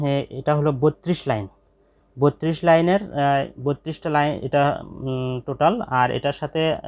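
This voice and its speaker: A man's voice: on the high side, a little mellow. Bangladeshi man presenting products informally. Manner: repeats phrases for emphasis, hesitant pauses. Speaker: shop presenter selling office equipment. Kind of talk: informal product demonstration to viewers.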